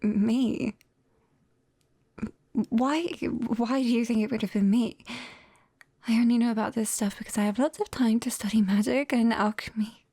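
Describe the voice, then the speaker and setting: Breathy whispering British witch played by a woman. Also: on the high side, steady tone, normal speed, pauses often, dry recording, clean studio sound. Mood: defensive, sheepish. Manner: hesitant, coaxing, gently apologetic. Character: clumsy, caring young forest witch, sheepish and gentle. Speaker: ASMR roleplay performer. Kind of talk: whispered ASMR roleplay. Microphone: close binaural microphone.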